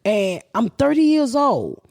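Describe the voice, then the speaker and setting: A woman's voice: a little high, big pitch swings, unhurried, gravelly, darkish tone, dry recording, clean studio sound. A middle-aged woman, a podcast host telling a long story in a blunt, profane, rambling way. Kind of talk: casual storytelling.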